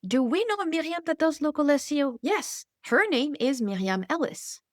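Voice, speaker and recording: A woman's voice: high voice, normal speed, no echo, clean studio sound. A woman from Hawaii, an expert guest in an interview, telling her points emphatically and with animation. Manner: animated, emphatic storyteller who laughs between points. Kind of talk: conversational interview.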